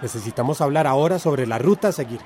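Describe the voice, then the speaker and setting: A man's voice: medium pitch, expressive, fast, a little mellow, slight background noise, natural voice. An actor playing a practical, agreeable male community organizer in a radio drama. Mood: earnest.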